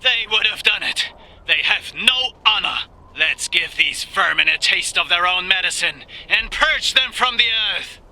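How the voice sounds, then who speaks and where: Forceful, ruthless army commander. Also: male voice, sits high, expressive, a little slow, full character voice, low-quality recording. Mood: vengeful. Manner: commanding, impassioned. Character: ruthless, vengeful German military commander of noble rank.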